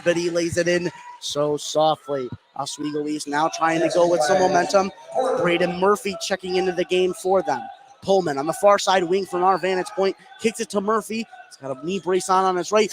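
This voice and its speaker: A man doing live radio play-by-play of a basketball game, following the action continuously and lifting his voice on big plays. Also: high-pitched. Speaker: play-by-play sports commentator. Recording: noisy live gym broadcast.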